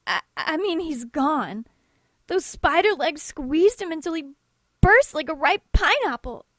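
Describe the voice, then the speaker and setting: A woman playing a frightened, excitable nun in an audio drama, with a breathless, halting, alarmed delivery. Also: high voice, dry recording, clean studio sound, exaggerated voice. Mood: alarmed, appalled.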